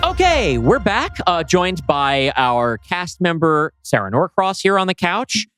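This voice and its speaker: A man's voice: sits high, sing-song, unhurried, fairly bright tone, clear, resonant tone, faint music behind. A man co-hosting a podcast in a casual, chatty style. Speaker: podcast co-host. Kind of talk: casual chat.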